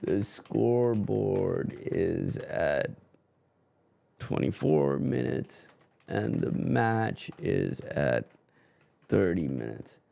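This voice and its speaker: A man's voice: low-pitched, very animated, drawn-out words, gravelly, mellow. A man streaming a live football match and ranting frankly about his team's manager, swearing freely in casual chat.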